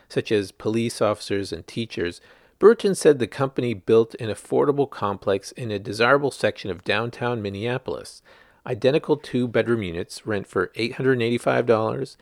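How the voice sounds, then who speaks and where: Guy reading a news article out loud, lowish voice, bit of fry, really dry sound. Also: dry recording. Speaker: journalist reading own article. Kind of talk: scripted article narration.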